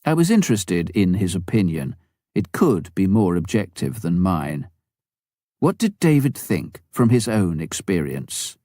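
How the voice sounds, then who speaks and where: A man's voice: deep voice, very animated, a little slow, mellow, no echo, studio quality. A man reading a memoir aloud as scripted audiobook narration. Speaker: audiobook reader.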